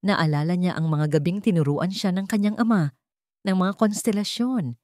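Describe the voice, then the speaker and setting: A woman's voice: lowish voice, normal speed, a little mellow, dry recording, clean studio sound. A woman narrating a scripted story in a dramatic storytelling style.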